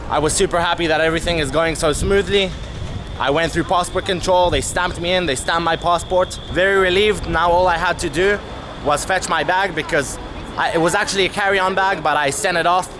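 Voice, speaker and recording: A young man telling a travel story to camera as a vlogger, candid and animated, swearing casually, outdoors in a city street. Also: fairly high pitch, noisy background. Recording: music playing under the voice.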